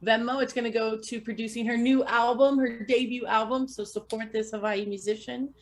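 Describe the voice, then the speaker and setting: A woman hosting a live music interview show, bubbly and quick to laugh. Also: a little high, fairly even intonation. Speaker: radio host and interviewer. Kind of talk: casual interview chat.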